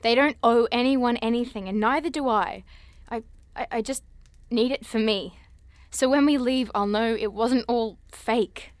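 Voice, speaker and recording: A woman's voice: on the high side, a little slow, no echo, natural voice. A woman playing a curious, earnest and stubborn young scientist in an audio drama, polite but hesitant. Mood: pleading, defensive.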